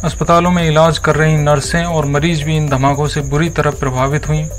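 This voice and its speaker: A man's voice: fairly high pitch, flat delivery, brisk, soft background music. A man presenting scripted news as a narrator, with a formal, earnest delivery.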